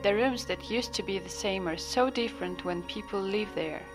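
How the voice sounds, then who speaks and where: A woman hosting a podcast, narrating a scripted introduction and slowly describing a room. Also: mid-range voice, over music. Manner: slow, descriptive. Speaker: podcast host.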